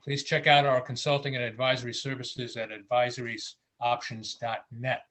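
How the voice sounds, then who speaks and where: Man, interview host, mid-pitched, friendly, filler-prone delivery. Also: level tone, normal speed, a bit bright. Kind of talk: casual interview hosting.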